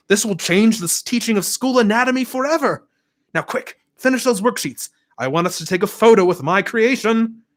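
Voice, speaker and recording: A man voicing an excitable, bossy middle-aged male teacher who barks orders at his class. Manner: shouting, bossy, high-pitched.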